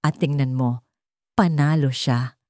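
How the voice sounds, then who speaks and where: A woman's voice: rumbling low, fairly even intonation, measured pace, a little mellow, no room sound, studio quality. A woman voicing a callous young man who gloats bluntly in a romance story. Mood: callous, mocking. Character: callous young man, heroine's crush's gloating best friend.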